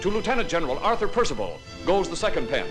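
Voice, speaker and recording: A man narrating a 1940s newsreel in a crisp, declamatory announcer style. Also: fairly high pitch, big pitch swings, quick pace. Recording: 1940s newsreel soundtrack with music. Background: orchestral music.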